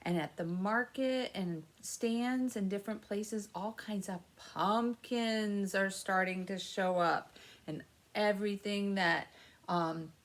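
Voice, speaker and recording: A woman hosting story time for young children, reading aloud with expressive emphasis and explaining things simply with questions to the listeners. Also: medium pitch, takes it slowly.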